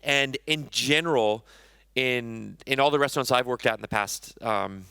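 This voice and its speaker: Bright, crisp-voiced chef on stage. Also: male voice, medium pitch, moderate pace, no echo, clean studio sound. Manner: conversational, self-correcting, enthusiastic about food. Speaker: chef giving a conference talk. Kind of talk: conference talk. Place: conference stage.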